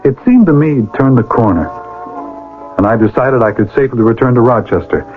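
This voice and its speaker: An actor playing an earnest, righteous older brother, theatrical and formal in an old-time radio drama. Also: male voice, medium pitch, a little fast, soft background music, lightly acted. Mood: earnest, confessional. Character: earnest, righteous older brother, a pleading moralist, narrating his past. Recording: noisy, tinny vintage radio recording.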